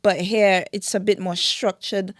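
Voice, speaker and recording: A woman's voice: mid-range voice, level tone, measured pace, no echo, clean studio sound. A young woman from Dominica, chatting easily as an interview guest and laughing now and then. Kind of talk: casual interview chat.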